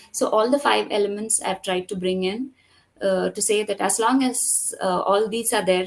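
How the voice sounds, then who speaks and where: Measured Indian woman storyteller. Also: medium pitch, creaky. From Kerala, India. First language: Tamil. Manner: measured, explaining with frequent fillers. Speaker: storyteller guest. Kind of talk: conversational interview and storytelling.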